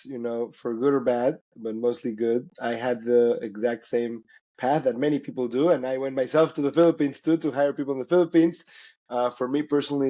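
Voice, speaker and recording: A man's voice: medium pitch, dark tone. Dull-sounding recording of Mexican man explaining. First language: Spanish. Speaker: interview guest, company founder. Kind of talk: conversational explaining.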